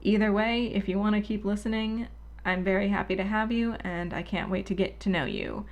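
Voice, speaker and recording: A woman hosting her own channel, talking unscripted and alone at her microphone in a soothing, relaxed, rambling and candid way. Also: medium pitch, a little fast. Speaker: channel host. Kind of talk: unscripted casual monologue. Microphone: solo at her own microphone.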